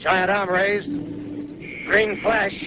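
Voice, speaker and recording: A grim bomber pilot barks clipped military reports over the radio. His voice sits a little high. The 1930s broadcast sound is muffled and buried in loud hiss. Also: level tone, extremely slow. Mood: frantic. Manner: clipped terse military report, growing frantic. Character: male bomber pilot lieutenant, grim, doomed. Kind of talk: 1930s radio drama acting.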